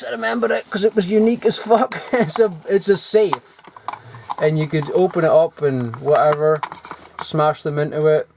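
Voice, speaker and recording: A man's voice: fairly high pitch, background noise. A man rummaging through a cluttered loft, talking casually with lots of fillers and swearing as he shows off old toys. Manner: swears often, filler-heavy and digressive. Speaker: vlogger. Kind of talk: casual rambling show-and-tell.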